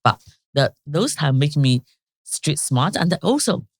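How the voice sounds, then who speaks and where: Middle-aged woman, Chinese accent, non-native speaker, upbeat and halting interview guest, close and dry. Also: low-pitched, sing-song, breathy edge, no room sound. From China. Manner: halting, many restarts and fillers, upbeat storytelling. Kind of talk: casual interview chat.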